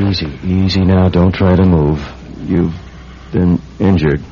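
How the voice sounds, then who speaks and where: An actor playing a troubled, earnest investigator in a grave, dramatic radio drama style. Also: male voice, sits low, fairly even intonation, unhurried, a little hiss or hum. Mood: frantic. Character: troubled, earnest male investigator narrating his case. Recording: dull radio drama recording.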